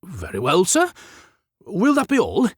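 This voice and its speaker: A man's voice: high-pitched, wildly swooping pitch, a little slow, husky, dry recording, studio quality, pitched above the performer's own voice, exaggerated voice. Man acting formal, deferential Victorian office manager. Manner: formal, composed, servile politeness.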